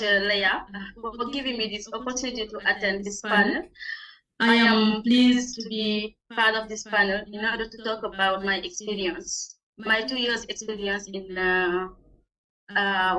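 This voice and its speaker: A woman from Senegal with a Senegalese accent, a non-native English speaker, gives a halting, informal presentation over an online meeting as a teacher on a panel. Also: medium pitch, sharp tone. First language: not English. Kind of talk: informal spoken presentation of own experience. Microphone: video call. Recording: distant online meeting microphone.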